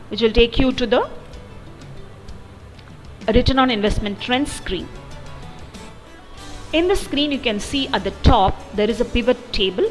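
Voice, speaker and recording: High-pitched woman presenting a tutorial. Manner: methodical, step-by-step explaining. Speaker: software demo presenter. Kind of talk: explanatory tutorial narration. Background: background music.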